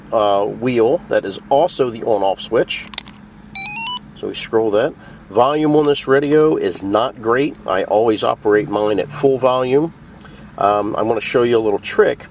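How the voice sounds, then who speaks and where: A man of medium pitch presents a casual product tutorial. He explains each step in plain, practical words. The mic sits some way from him, with faint vehicle noise behind the voice. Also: a little slow, far from the mic. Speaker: video host demonstrating a radio.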